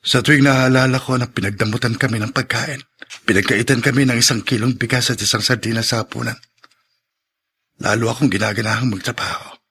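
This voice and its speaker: An actor playing an earnest, polite young man in a radio drama, husky-voiced and serious. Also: medium pitch, fairly even intonation, fairly quick, sharp tone. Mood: grave. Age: young adult. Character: earnest young man, shy then grimly determined.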